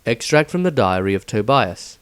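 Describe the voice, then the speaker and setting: A man's voice: medium pitch, sing-song, moderate pace, clear, resonant tone, no room sound, clean studio sound. A man narrating a scripted fantasy story.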